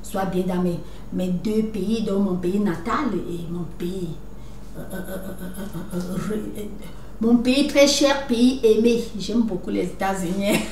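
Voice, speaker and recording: A woman's voice: mid-range voice, unhurried, a little mellow. Lecturing woman, echoey, far from the mic. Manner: lecturing, repeats "n'est-ce pas", many restarts. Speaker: video commentator. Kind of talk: casual monologue to viewers. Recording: echoey room, somewhat far from mic, faint noise.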